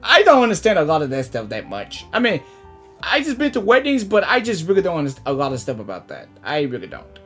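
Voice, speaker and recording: Animated woman reacting to a game. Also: low voice, fast talker. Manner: rambling, repetitive, exclamatory. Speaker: reaction video host. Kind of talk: casual gameplay reaction commentary. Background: game music.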